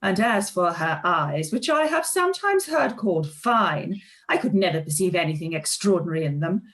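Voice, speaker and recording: A woman's voice: lowish voice, sing-song, normal speed, fairly bright tone. A woman playing a haughty, spiteful young society lady in a period radio play, with an affected, disdainful drawl. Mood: catty, scornful.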